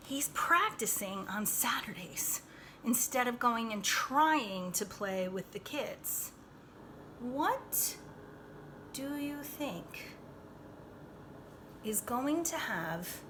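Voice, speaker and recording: Unhurried, high-voiced woman reading to children. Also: background noise. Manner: teacherly, questioning, emphatic. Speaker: storybook read-aloud reader. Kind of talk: expressive children's read-aloud with chatty asides to young listeners.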